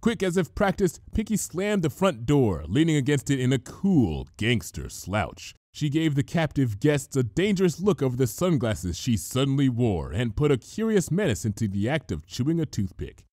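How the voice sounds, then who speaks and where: A man reading a comic story aloud as narrator, in an expressive, measured storytelling manner. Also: medium pitch, no echo, clean studio sound. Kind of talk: dramatic scripted fan fiction reading.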